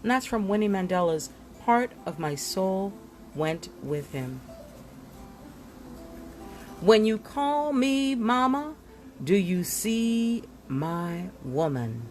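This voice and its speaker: A woman reading glossary entries aloud from a book in an expressive style, giving headwords deliberate, dramatic emphasis. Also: mid-range voice, soft background music. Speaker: reader of a book.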